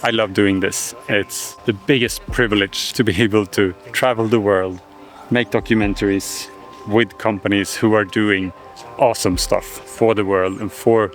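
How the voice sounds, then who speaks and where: A man narrating his filmmaking vlog with enthusiasm. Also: lowish voice. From Sweden. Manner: enthusiastic, reflective. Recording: music playing underneath.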